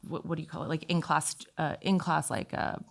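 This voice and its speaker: A woman from Italy talking as an interview guest, telling stories in a casual, animated, informal way. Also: sits low, fairly quick, vocal fry. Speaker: podcast guest, fitness instructor. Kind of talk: casual conversational storytelling.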